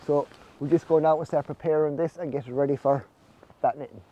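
A man's voice: on the high side, fairly even intonation, brisk, dull audio. A man in his forties with an Irish accent, casually explaining farm jobs outdoors in a vlog. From Ireland. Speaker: snail farmer presenting his farm vlog. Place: outdoors under netting on a farm.

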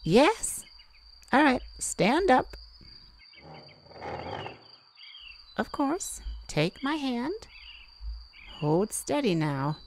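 A woman playing a calm, enigmatic mystic who peers into minds, speaking with soothing, hesitant pauses in an intimate audio roleplay. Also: high voice, slight background noise, heavily acted. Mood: gently guiding. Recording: nature ambience with birds and crickets.

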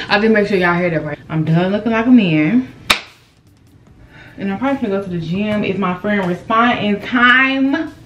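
A woman's voice: mid-range voice, lively intonation, normal speed, reverberant, highs cut off, background noise. A young woman chatting candidly to her viewers and her dog in a casual vlog. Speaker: vlogger. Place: apartment rooms and a parked car.

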